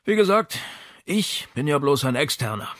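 A man's voice: on the high side, sing-song, normal speed, a bit bright, no echo, voice pushed up. A man reads as a shuffling, self-deprecating maestro in a crime audiobook. He is wry and confiding, with a dry, close sound.

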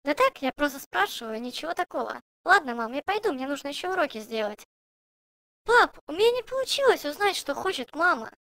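A woman voicing a cheerful, insistent little girl pig in a children's cartoon, chirpy and expressive with childlike questioning. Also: sits high, brisk, dry recording, exaggerated voice. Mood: curious, unsure.

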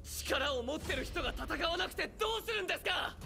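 An actor playing a hot-headed, defiant young male soldier who shouts furious appeals, over game music. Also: extremely high pitch, expressive, fast. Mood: furious shouting. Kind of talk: anime game cutscene acting.

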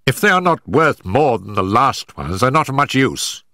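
A man's voice: mid-range voice, sing-song, a little fast, bright, forceful tone, dry recording, clean studio sound, pitched above the performer's own voice. A man doing a peevish old miser. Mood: sour. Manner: peevish, protesting, sardonic. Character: sullen, miserly older male client, peevish.

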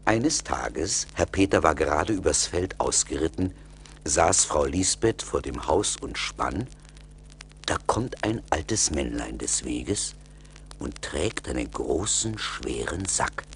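A man's voice: extremely low pitch, lively intonation, slow pace, gravelly, slight background noise. A man narrating a fairy tale as a scripted storyteller in a radio play.

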